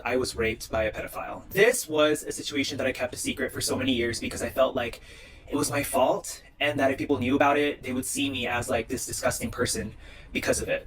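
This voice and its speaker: A man's voice: medium pitch, noisy background. A man from Indonesia talking casually to the camera in short social media clips, with a chatty style full of "like". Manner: chatty, heavy use of "like".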